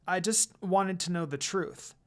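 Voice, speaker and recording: A reader plays an emotional young girl in a fan fiction story. She sounds soft and hesitant, apologetic and eager to please. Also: mid-range voice, measured pace, close to an everyday voice.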